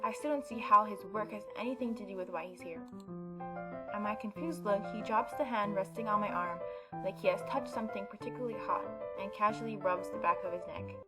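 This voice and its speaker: A woman reads visual novel narration aloud as a gaming YouTuber. She slips between storytelling and casual commentary. Game music is clearly audible behind her medium-pitched voice. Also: brisk, poor audio.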